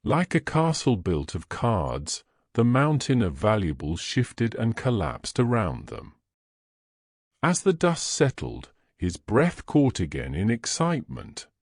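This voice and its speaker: A man's voice: fairly low pitch, wildly swooping pitch, slow pace, dry recording, clean studio sound. A man narrating scripted audio fiction in a storyteller's style.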